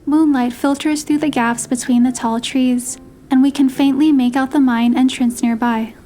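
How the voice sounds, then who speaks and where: Woman as high-voiced young heroine narrating. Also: fairly even intonation, normal speed, warm tone, slight background noise, natural voice. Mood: gentle storytelling. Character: young female heroine narrating her romantic trip. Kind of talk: scripted first-person story narration.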